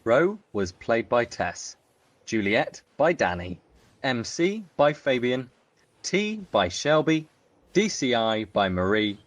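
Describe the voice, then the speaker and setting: A man's voice: mid-range voice, big pitch swings, slow pace, no echo. A man reading scripted cast credits as an announcer.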